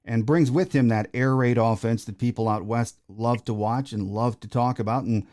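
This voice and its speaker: A man's voice: mid-range voice, steady tone, normal speed, darkish tone. A man hosting a casual sports interview, folksy in manner, leading his guest with conversational questions. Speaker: podcast host, interviewer.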